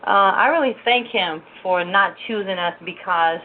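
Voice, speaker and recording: A woman's voice: mid-range voice, normal speed. Woman hosting over a tinny line. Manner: warm greetings, prayerful thanksgiving, loose with fillers. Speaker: host. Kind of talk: religious women's talk show. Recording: noisy, tinny phone-line audio.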